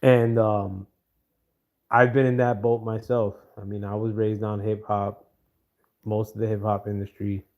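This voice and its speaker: An American man giving casual political commentary on a livestream, pausing often with fillers and greeting viewers by name. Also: lowish voice. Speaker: livestream host.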